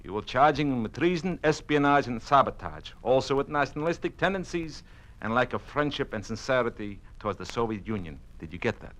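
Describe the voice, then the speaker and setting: An actor playing a cold, imperious communist police boss in an old-time radio drama, curt and commanding. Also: male voice, medium pitch, animated, brisk, a bit bright, natural voice.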